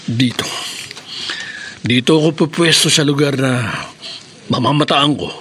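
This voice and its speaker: A man's voice: mid-range voice, measured pace, fairly bright tone, slight background noise, natural voice. An actor playing a brave armed man in a Filipino radio horror drama, speaking dramatically and narrating his own actions aloud. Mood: watchful, determined. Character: brave armed man vowing to kill monster monkeys.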